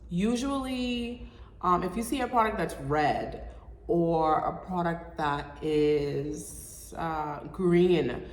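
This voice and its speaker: Young woman vlog host, nasal with a cold, lowish voice, long reverb around her. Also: takes it slowly. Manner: chatty, informal, explaining step by step. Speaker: show host. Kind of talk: casual hair-care vlog. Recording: echoey room with long reverb.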